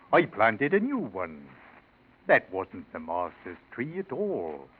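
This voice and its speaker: An actor playing an earnest, grave Yorkshire manservant in a 1940s radio mystery. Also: male voice, mid-range voice. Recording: scratchy, narrow 1940s radio recording.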